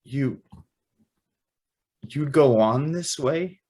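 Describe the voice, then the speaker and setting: A man acts an earnest young suitor in a radio play. He speaks slowly at a middling pitch, hesitant and sincere, like a guest unsure of his footing. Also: darkish tone, husky. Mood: appalled. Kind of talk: old-time radio drama acting.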